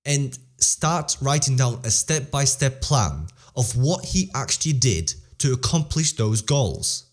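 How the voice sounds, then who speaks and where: A teenage boy speaks as an interview guest, earnest and driven as he gives motivational advice. His pitch sits in the middle for a young man. He restarts sentences and repeats phrases as he pushes his point. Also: sing-song, a little slow. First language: Polish-English bilingual, English stronger. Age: teens. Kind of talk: casual motivational talk.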